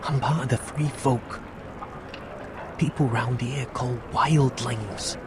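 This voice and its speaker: An actor playing a friendly, uneasy young wildling man in a video game, speaking in a halting, confiding way. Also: mid-range voice, fairly even intonation, natural voice. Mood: uneasy, confessing. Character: friendly young wildling man hiding among sworn watchmen, earnest, uneasy. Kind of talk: dramatic video game voice acting. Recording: clearly noisy outdoor ambience.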